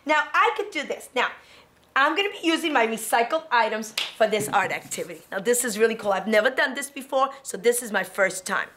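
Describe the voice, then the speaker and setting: A middle-aged woman teaching preschoolers in a classroom, talking young children through each step in an encouraging, animated way. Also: fairly high pitch, brisk, noisy background. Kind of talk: children's educational demonstration. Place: indoor classroom.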